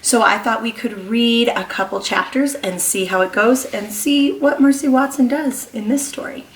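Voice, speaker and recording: A woman kindergarten teacher reading a story aloud to young children, expressive and encouraging, pausing to ask them questions. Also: a little high, far from the mic, slight background noise. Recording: echoey room.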